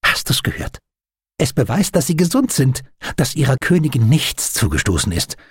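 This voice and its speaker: A man voicing an eccentric, excitable naval doctor in an audiobook, with an eager, enthusiastic manner. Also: medium pitch, moderate pace, breathy edge, no room sound. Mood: delighted.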